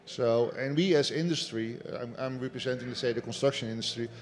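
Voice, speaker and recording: A man on a seminar panel in a large indoor hall speaks for the construction industry. His voice sits at an average pitch, and he argues his points in a measured, emphatic way. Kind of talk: panel discussion.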